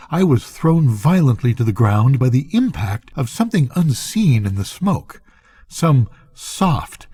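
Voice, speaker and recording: Husky older man telling a story, expressively. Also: mid-range voice, mellow, no room sound. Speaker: storyteller reading to grandchildren. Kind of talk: dramatic audiobook-style story narration.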